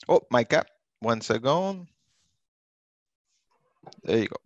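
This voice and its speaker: Man, quick and joking, dry close sound. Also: mid-range voice, lively intonation, dry recording, dull audio. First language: French. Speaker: senior economist. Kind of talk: brief self-introduction on a video call.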